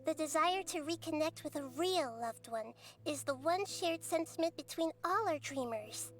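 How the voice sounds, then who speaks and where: Casting: a tiny wise girl goddess, very high and calm, measured and analytical, video game. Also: soft background music. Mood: calm probing. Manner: composed, gently analytical. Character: small girl goddess of wisdom, calm, perceptive. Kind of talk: game cutscene dialogue.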